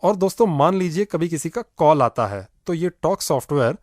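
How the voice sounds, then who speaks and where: A man's voice: on the high side, animated, normal speed, darkish tone, no room sound, clean studio sound. A man co-hosting a radio program, explaining how a phone feature works in a friendly way. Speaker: radio show co-host. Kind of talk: scripted radio demonstration.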